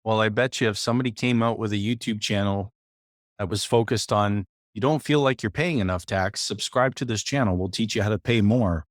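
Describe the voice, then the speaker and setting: A man's voice: lowish voice, fairly quick, dry recording, studio quality. A man co-hosting a podcast, upbeat and encouraging as he plugs the guest's site. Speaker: podcast co-host. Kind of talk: casual chat and show promotion.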